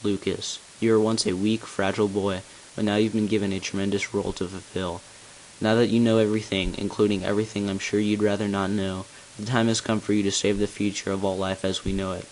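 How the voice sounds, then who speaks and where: A man acts out a grave, weary village elder from a video game. The voice is low and stays very level, explaining things in a solemn, measured way. A faint hiss sits behind the amateur recording. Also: level tone, darkish tone, natural voice, rough recording. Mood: earnest, urging. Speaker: amateur voice actor auditioning. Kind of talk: scripted dramatic monologue, game narrative. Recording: hissy home microphone recording.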